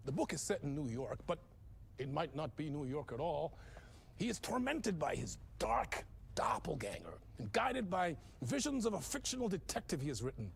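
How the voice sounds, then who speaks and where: An actor playing a flamboyant TV talk show host in a game cutscene, over-the-top and effusive. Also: male voice, fairly high pitch, big pitch swings, normal speed, creaky, slight background noise. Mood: knowing.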